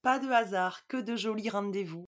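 A woman's voice: mid-range voice, normal speed. A woman hosting an interview, introducing her guest in a lyrical, admiring and slightly formal way. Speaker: host. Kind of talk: interview host.

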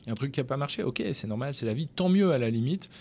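A man's voice: medium pitch, fast talker, slight background noise. A man, a company founder and chief executive, talking frankly and casually as an interview guest, with lots of fillers and restarts. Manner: frank and colloquial, lively, with many fillers and restarts.

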